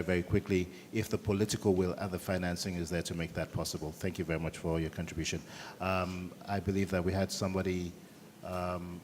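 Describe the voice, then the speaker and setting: Deep, quick-talking male moderator, echoing hall. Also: mellow. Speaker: panel moderator. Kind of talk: moderating a conference discussion. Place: conference hall. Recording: echoing hall with background noise.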